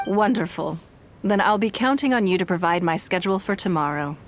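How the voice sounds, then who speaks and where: A woman playing a composed, gracious noblewoman of power in a video game, speaking with formal, measured politeness. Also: medium pitch, a little hiss or hum, lightly acted.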